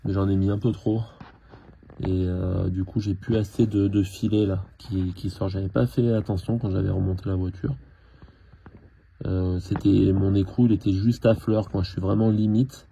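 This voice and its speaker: A man talking casually and haltingly through hands-on work, thinking aloud as he goes. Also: sits low, steady tone, gravelly, dark tone, muffled recording, slight background noise. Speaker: car mechanic hobbyist filming his own repair. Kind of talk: casual hands-on tutorial narration.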